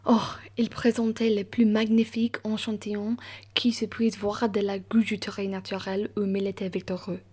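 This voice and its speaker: A woman playing a dignified, indignant aristocratic gentleman traveller in an amateur radio play, speaking formally and emphatically. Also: mid-range voice, little pitch movement, normal speed, husky, natural voice. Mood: grave, resigned.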